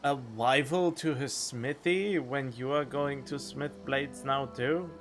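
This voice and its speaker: A man's voice: medium pitch, steady tone, slow pace. A man giving casual, off-the-cuff commentary as he streams a video game. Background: game music.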